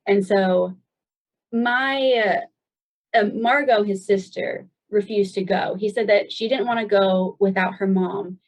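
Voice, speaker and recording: A woman's voice: mid-range voice, steady tone, measured pace. A woman in her twenties from the United States, a guest telling her story in a loose, chatty way with plenty of "um" and "like". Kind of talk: casual personal storytelling. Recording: dull lo-fi sound.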